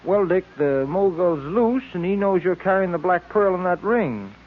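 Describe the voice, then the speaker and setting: A man's voice: high-pitched, lively intonation, normal speed. An actor playing a detective's male sidekick under a villain's spell, in old-time radio serial style. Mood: feigned casual. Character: detective's male sidekick, hypnotized, feigning casual friendliness. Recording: tinny, noisy vintage radio recording.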